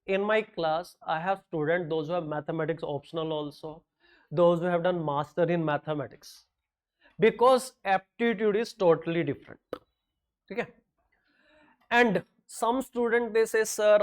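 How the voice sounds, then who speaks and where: A middle-aged man from North India, whose first language is Hindi, teaching a classroom lecture with a North Indian accent and joking asides. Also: a little high. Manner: mixes English with quick Hindi asides, joking.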